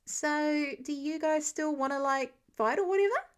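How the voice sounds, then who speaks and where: A woman's voice: high-pitched, normal speed, voice pushed up, natural voice. A woman voicing an awkward teenage boy superhero in a story reading, hesitant and trailing off. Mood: awkward, unsure.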